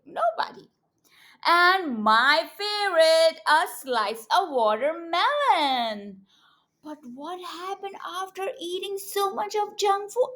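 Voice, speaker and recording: Female kids' educator for read-alouds; high voice, unhurried delivery, teacherly, engages little listeners with questions. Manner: animated, teacherly, often prompting children with questions. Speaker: children's educator and storyteller.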